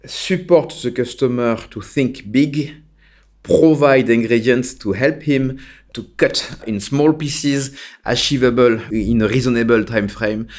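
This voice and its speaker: A man's voice: mid-range voice, a little slow, a little mellow. A man giving explanatory interview answers as a consultant, in short emphatic phrases. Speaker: technology consultant, interview guest.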